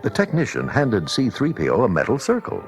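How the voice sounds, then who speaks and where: A man's voice: mid-range voice, huge pitch swings, fairly quick, faint music behind. A man narrating a scripted children's read-along story.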